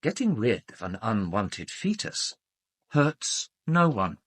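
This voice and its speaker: A man's voice: on the low side, big pitch swings, slow, a bit bright, breathy edge, heavily acted. An actor with a British accent playing an arrogant doctor in a radio drama, haughty, precise and emphatic in his own defence. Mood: self-justifying. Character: arrogant, self-justifying male doctor under police questioning.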